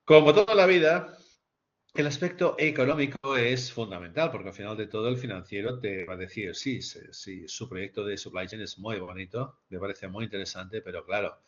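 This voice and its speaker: Low-voiced man lecturing, dull recording. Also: normal speed. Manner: emphatic repetition, rhetorical questions. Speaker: lecturer presenting a business case to master's students. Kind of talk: spoken slide presentation, explanatory lecture. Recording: dull, lo-fi sound.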